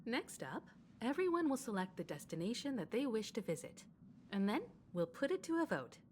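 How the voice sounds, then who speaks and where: A woman's voice: fairly high pitch, big pitch swings, moderate pace, slight background noise. A woman voicing a composed, courteous adult crew leader in a video game, poised and formal.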